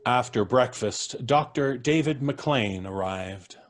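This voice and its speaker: A man narrating a story in an even, storytelling manner at a live reading. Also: medium pitch, takes it slowly, slight background noise. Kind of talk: scripted narration.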